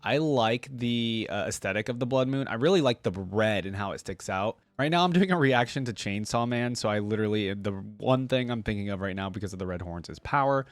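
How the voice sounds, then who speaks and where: A man's voice: fairly low pitch, animated, fairly quick, few pauses, dry recording. A man giving casual reaction commentary as an online host, enthusiastic and informal in a conversational way.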